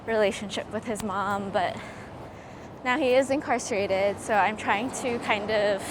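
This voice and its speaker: A woman's voice: medium pitch, unhurried. A young American woman in casual conversation outdoors, a little hesitant, saying "like" and "kinda" often. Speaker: interviewee, criminal defense mitigation specialist. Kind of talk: casual chat. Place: outdoors on a street. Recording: noisy outdoor street with traffic.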